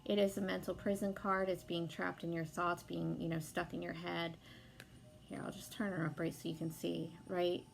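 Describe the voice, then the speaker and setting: A young woman with a hoarse voice from being sick, chatting casually as she gives a tarot reading, with lots of fillers and some swearing. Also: fairly low pitch, little pitch movement, moderate pace, rough recording. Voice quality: hoarse, gravelly. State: sick, losing her voice. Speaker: tarot reader.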